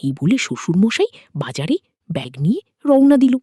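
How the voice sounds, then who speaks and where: Animated woman storyteller with a mellow voice. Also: mid-range voice, normal speed, breathy edge, no echo, clean studio sound. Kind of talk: informal dramatic story narration.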